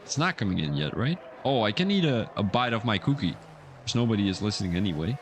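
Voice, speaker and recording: A man's voice: on the low side, fast, slight background noise. Chatty young man commentating a sim race. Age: young adult. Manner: chatty and rambling, turns excited when calling incidents. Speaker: sim racing league commentator. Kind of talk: casual livestream race commentary.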